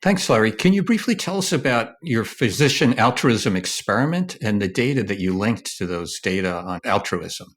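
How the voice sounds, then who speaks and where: Man, slightly low voice, podcast host, journal editor, interview introduction style. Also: expressive, normal speed, hardly any pauses.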